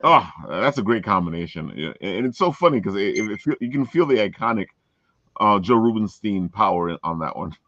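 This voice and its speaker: Animated low-voiced man hosting, dull sound. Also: a little fast, dry recording. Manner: enthusiastic, jokey, chuckles often. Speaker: host. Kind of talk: casual interview chat. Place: remote video call. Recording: dry, dull lo-fi call audio.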